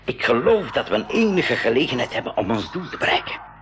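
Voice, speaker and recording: A courteous, earnest leader of an expedition in a 1980s radio drama. His voice sits in the middle register and moves expressively, with deliberate phrasing. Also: mid-range voice, big pitch swings, a bit bright. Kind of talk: 1980s Dutch-language school radio drama acting.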